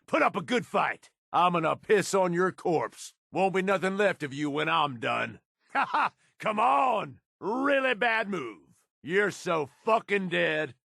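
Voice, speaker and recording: A man's voice: high-pitched, sing-song, a little slow, vocal fry, sharp tone, dry recording, studio quality, exaggerated voice. An actor playing a cynical, foul-mouthed, hard-bitten raider henchman in a video game, talking in blunt tough-guy slang. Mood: battle rage. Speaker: game companion character.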